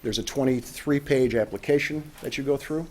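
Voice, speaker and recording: A man giving halting public remarks at a council meeting, representing a housing nonprofit. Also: medium pitch. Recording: noisy meeting-room audio.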